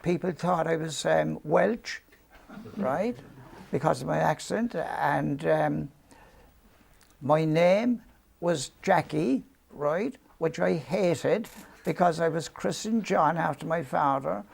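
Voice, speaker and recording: Older Irish man with a gravelly voice. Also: fairly high pitch, slow. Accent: Cork Irish, softened by years in London. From Cork, Ireland. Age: 70s. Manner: rambling, anecdotal, self-deprecating, many ums and restarts. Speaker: interview guest. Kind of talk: storytelling reminiscence.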